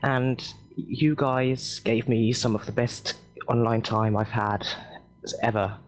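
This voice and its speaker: A man with an English accent, raised in Germany, talking earnestly and haltingly in a casual voice chat with his gaming guild. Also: mid-range voice, steady tone, low-quality recording. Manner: earnest, halting, many restarts. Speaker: guild member. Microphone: headset over voice chat.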